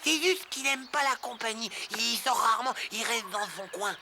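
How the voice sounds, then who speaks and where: An actor voicing a breezy, bossy but kindly male river rat in an animated film dub, chummy and exclamatory. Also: medium pitch, moderate pace, vocal fry, a little hiss or hum. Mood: earnest. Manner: exclamatory, chummy, self-assured. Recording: loud music behind the voice.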